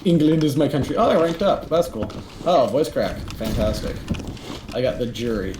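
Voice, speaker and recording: A teenage boy chatting casually as co-host, rambling and animated with lots of fillers. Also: mid-range voice, normal speed. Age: teens. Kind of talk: casual chat. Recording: echoey room, faint noise.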